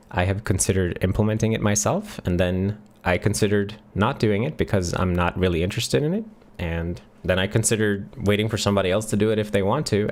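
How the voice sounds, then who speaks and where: A middle-aged man from Sweden with a Swedish accent, hosting a live question session from a home office and reading chat questions aloud. He talks in a relaxed way, thinking aloud with many ums. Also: on the low side. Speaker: livestream host answering chat questions. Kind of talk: casual live Q&A. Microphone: studio mic on a boom near the face.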